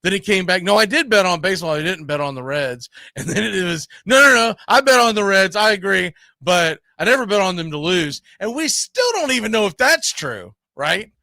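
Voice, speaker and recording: He is a man hosting a casual, argumentative sports discussion. His voice is bright and sharp, pitched a little high, in a very dry recording. He talks emphatically, circling back to repeat words as he makes his case. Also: expressive, fairly quick, vocal fry, few pauses, no room sound. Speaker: sports talk host.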